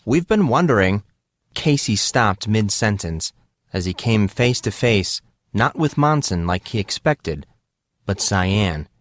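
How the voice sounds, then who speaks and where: Low-voiced man narrating fiction. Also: lively intonation, takes it slowly, dry recording. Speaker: audiobook narrator.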